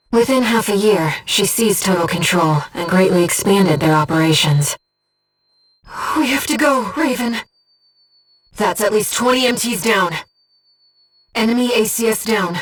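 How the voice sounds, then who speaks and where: A woman voicing a disembodied female guide who speaks in a pilot's head as mission operator in a video game, with a soft, breathy voice and a precise, earnest delivery. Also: mid-range voice, far from the mic, close to an everyday voice. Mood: urgent, alert. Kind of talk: scripted video game voice lines, mission briefings and battle callouts.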